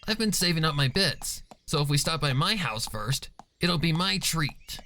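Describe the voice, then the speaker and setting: A man voicing a cheerful, excitable young schoolgirl pony in a chatty manner for a story. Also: fairly high pitch, moderate pace, a little hiss or hum, pitched above the performer's own voice. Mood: cheerful, eager.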